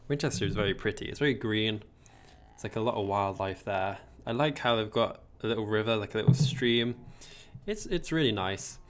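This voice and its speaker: A man's voice: on the low side, fairly quick. A young English man chatting casually on a gaming livestream, rambling about his week and answering viewers. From England. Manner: chatty, rambling, reads chat aloud. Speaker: streamer.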